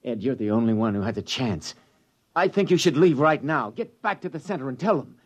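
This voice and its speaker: A man's voice: mid-range voice, animated, brisk, dull audio, close to an everyday voice. Dramatic, commanding spaceman played by a man. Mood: grave, urgent. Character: commanding male space expedition crewman, grimly practical. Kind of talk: 1950s radio drama acting.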